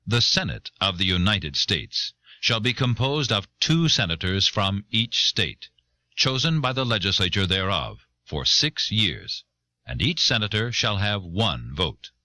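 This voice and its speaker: A man giving a solemn, deliberate formal reading of a legal text, recorded on an old audio cassette. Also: lowish voice, sing-song, pushed and bright. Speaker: reader.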